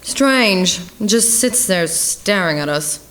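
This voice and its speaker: A woman's voice: medium pitch, animated, slow pace, a bit bright, slight background noise. A woman playing a domineering, scornful middle-aged wife in a comic audio drama, cutting in manner, in a roomy recording. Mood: sharp, puzzled. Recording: roomy live stage recording.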